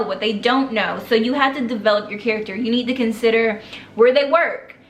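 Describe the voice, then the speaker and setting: A woman's voice: on the high side, echoey room. A young woman giving casual writing advice in a chatty, loose way, full of fillers and restarts. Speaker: vlogger giving writing tips.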